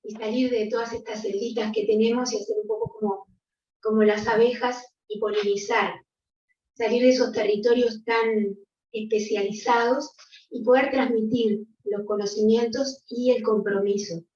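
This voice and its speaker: An older woman speaking reflectively and gently as a panelist on a video call, often ending with a soft "¿no?". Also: a little high, steady tone, normal speed. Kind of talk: reflective conversational talk. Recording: distant, roomy, dull.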